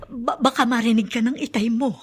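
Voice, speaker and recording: An actress playing a fearful mother speaking in hushed tones in a Filipino radio drama. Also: fairly high pitch, steady tone, fairly quick, slightly rough, no echo. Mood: anxious. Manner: hushed, anxious. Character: fearful, weary mother hushing her child.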